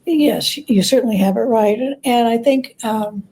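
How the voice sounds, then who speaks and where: A woman speaking as a guest scholar in an interview, explaining things conversationally in halting, thoughtful phrases with self-corrections. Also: a little high, warm tone.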